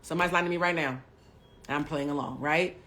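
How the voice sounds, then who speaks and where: A woman in her forties hosting a livestream, telling a personal story bluntly and with plenty of profanity, swinging between hushed asides and loud bursts. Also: low-pitched, steady tone, fast talker. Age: 40s. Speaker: livestream host. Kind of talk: candid storytelling to a live audience.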